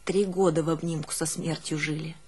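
A woman's voice: deep voice, fairly even intonation, husky. An actress playing a long-suffering village mother, telling her hardships in a plaintive, colloquial dramatic monologue for an audio play. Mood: bitter recollection. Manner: plaintive, colloquial, unhurried, swinging between hushed and keening. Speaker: stage actress in a one-woman audio play.

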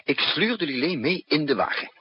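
An actor playing a shrewd, composed male spy who narrates and talks in a measured, wry way in a 1960s radio drama. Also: a little high, big pitch swings, breathy edge, full character voice. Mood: brisk, urgent. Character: shrewd fugitive male spy, calm and teasing. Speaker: lead character and narrator. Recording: muffled noisy 1960s radio recording.

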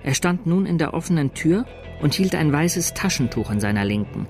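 An actor narrating as a sober chronicler of violent events in a radio drama, measured and literary. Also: male voice, on the high side, lively intonation, a little mellow, faint music behind. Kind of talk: scripted third-person radio drama narration.

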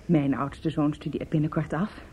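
Inquisitive middle-aged lady, acted by a woman, radio drama: lowish, mellow, confiding, on a faintly noisy recording. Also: fairly even intonation, moderate pace, lightly acted. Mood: chatty, confiding. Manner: confiding, gossipy. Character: chatty, inquisitive middle-aged mother at condolence visit. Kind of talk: Dutch radio drama acting.

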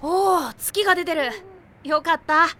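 A man voicing a small, eager, excitable teenage boy athlete who talks energetically in an anime audio drama. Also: extremely high pitch, a little slow, slight background noise, exaggerated voice. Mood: lighthearted. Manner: energetic, stammers when flustered.

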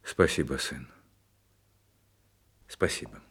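A man's voice: very deep voice, slow, gravelly, a bit bright, husky, full character voice. An actor playing a tender, weary war veteran father in a Soviet radio drama. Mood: solemn, subdued.

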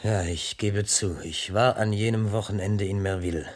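Husky, irritable, proud painter played by an actor. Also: male voice, on the low side, fairly even intonation, moderate pace, natural voice. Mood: bitter, grieving. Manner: curt, defensive. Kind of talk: 1980s German radio crime drama acting.

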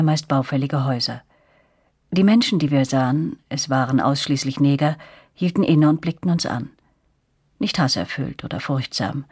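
Woman narrator remembering, husky, dry close sound, sober measured storytelling, radio drama. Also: low voice, a little mellow, dry recording. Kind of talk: scripted narration in a German radio play.

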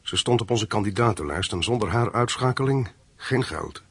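A cold hired killer narrating a radio play, kinda low and raspy, very dry sound. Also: male voice, lively intonation, moderate pace, a bit bright, no room sound. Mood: tense, reflective. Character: cold mercenary hitman recounting his work. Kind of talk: radio drama first-person narration.

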